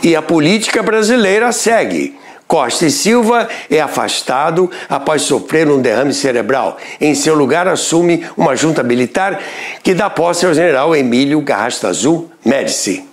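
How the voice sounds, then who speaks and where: Brazilian male documentary narrator, slightly high voice, very animated intonation, scripted delivery. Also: normal speed. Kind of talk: scripted radio history narration.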